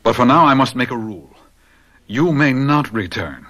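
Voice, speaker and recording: Husky, ailing protective father. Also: mid-range voice, animated, normal speed, bright, forceful tone. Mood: stern. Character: ailing, protective, stern but loving father. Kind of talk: radio drama acting.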